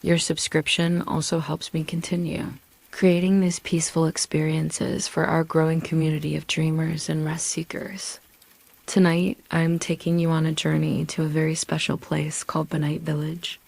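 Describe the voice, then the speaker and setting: A woman narrating a scripted sleep story as a gentle, soothing guide, with a hushed delivery and breathy, whispery phrase endings. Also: low voice. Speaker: host and narrator of sleep meditation stories.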